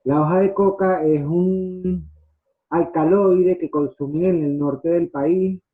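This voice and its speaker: Phone-like, higher-pitched male host. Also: fairly even intonation, slow. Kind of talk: casual webinar talk. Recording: thin phone-like online call audio.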